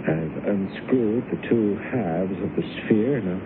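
An earnest alien visitor, noisy old radio. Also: male voice, lowish voice, phone-quality sound. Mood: intent, halting. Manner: halting, stammering, pleading. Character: earnest man who claims to be an alien visitor. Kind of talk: radio drama acting.

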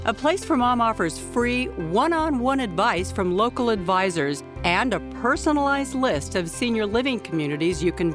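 A woman reading a radio advertisement in a reassuring tone. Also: mid-range voice. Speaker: ad spokeswoman.

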